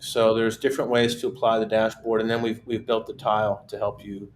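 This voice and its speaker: Male webinar host, low even delivery, relaxed and conversational, faint hum. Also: a little fast. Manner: relaxed, steady, conversational with repeated restarts. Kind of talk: casual webinar chat.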